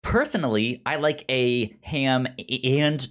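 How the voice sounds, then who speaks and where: A man with a mid-pitched voice talks in casual back-and-forth as a co-host. He stutters, often repeating the first sound or syllable of a word before getting it out. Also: slow, a bit bright. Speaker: podcast co-host. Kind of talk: casual banter chat.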